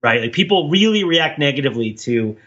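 A man's voice: fairly high pitch, expressive, fairly quick, a bit bright, lo-fi sound. A man co-hosting a podcast in casual chat, discursive, thinking aloud and often checking his points with "right?". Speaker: podcast co-host.

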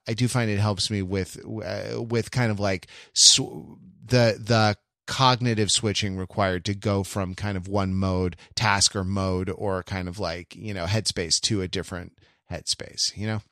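Male podcast host, lower register, dry close sound; conversational, meandering, thinking out loud. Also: no room sound. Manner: halting, rambling, thinking aloud.

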